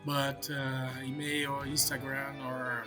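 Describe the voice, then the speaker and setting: A man from Brazil with a Brazilian Portuguese accent, Portuguese native, speaking as a professor in a podcast interview, halting with many fillers and restarts. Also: medium pitch, little pitch movement, music in the background. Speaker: professor and guest.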